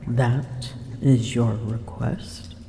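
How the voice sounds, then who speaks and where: A woman's voice: a bass voice, steady tone, very slow, background noise, heavily acted. A woman voicing a haughty, drowsy and grumpy female dragon in an ASMR roleplay, speaking in formal old-fashioned phrasing and often whispering. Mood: haughty, amused. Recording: roomy echo.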